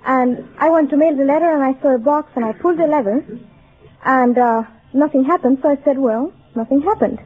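High-voiced young Guatemalan woman, tinny broadcast. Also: brisk. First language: Spanish. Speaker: college student contestant. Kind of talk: interview answers. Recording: tinny, noisy old broadcast with audience.

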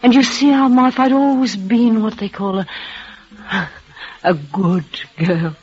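An actress playing a frail, dying young woman, tender and devoted, speaking in a breathless, halting way with soft repeats. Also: a little high, breathy edge. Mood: resigned, tender. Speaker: lead actress. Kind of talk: 1930s radio drama acting. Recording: muffled old radio broadcast.

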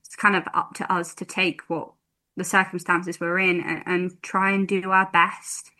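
A woman in her twenties speaking as a campaigner on a radio interview. Her voice sits a little low yet sounds bright. She talks in slow, measured phrases, often pausing on um. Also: little pitch movement. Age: 20s. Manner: thoughtful, many ums, slow measured phrasing. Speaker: campaigner and guest.

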